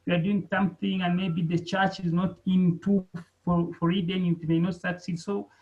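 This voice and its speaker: A man with a notably high voice speaks over a muffled, lo-fi video call. He introduces himself and his research with earnest enthusiasm, as a doctoral student. The audio sounds dull and narrow. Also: flat delivery, normal speed, darkish tone. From Uganda. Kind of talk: introducing himself in an online meeting.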